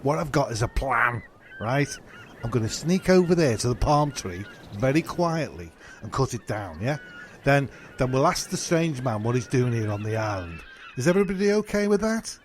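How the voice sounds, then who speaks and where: A man's voice: medium pitch, sing-song, moderate pace, a little hiss or hum, lightly acted. A man playing an impatient, sweary castaway in a comedy audio drama, blunt and sarcastic. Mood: scheming.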